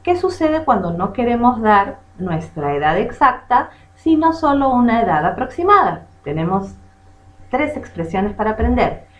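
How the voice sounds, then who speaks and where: A woman's voice: a little high, sing-song, moderate pace. A native Spanish-speaking woman giving a bilingual language lesson as teacher and host, carefully drilling phrases by repetition. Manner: bilingual, switches between English and Spanish, has listeners repeat. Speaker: English teacher, channel host. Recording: muffled lo-fi sound in echoey room.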